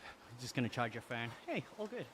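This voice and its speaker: Man, Australian accent, mid pitch, casual live-stream chat, upbeat, laughs often, faint background noise. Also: sing-song, fairly quick. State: exercising on an indoor bike while talking.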